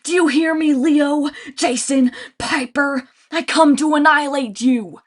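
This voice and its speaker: A woman voicing an enormous, ugly, hungry female Cyclops who bellows slowly. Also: sits high, pitched above the performer's own voice. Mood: vengeful. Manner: bellowing, slow and brutish.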